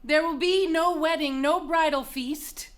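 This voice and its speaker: A woman's voice: high-pitched, steady tone, unhurried. A woman playing a proud, grieving, condemned young princess in a dramatic reading of Greek tragedy, declaiming with anguish. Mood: mournful, despairing.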